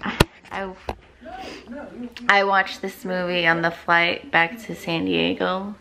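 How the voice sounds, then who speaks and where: A woman's voice: lowish voice, flat delivery, slow, vocal fry, sharp tone. A young woman, a mother, chatting casually for a family vlog into a handheld camera. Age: young adult. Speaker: vlogger and mother. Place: at home, in a car and in a busy restaurant. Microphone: handheld camera at arm's length.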